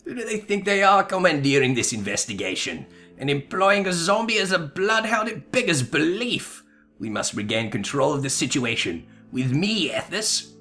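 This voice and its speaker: A man's voice: on the high side, sing-song, normal speed, bright, forceful tone, faint music behind, full character voice. A man playing a pompous, zealous and suspicious inquisitor from a video game, in haughty archaic language. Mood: indignant. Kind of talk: melodramatic video game dialogue reading.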